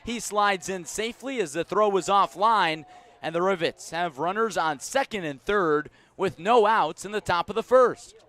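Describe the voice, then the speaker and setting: American guy doing baseball radio play-by-play, voice a bit on the high side, describes every pitch. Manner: measured, descriptive calls that rise to excitement on big plays. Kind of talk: live radio sports commentary.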